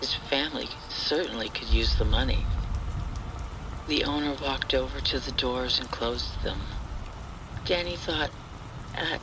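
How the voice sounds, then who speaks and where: Male horror storyteller, medium pitch, unhurried and hushed, scripted atmospheric read over clear rain noise. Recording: steady rain and thunder noise. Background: rain and thunder ambience.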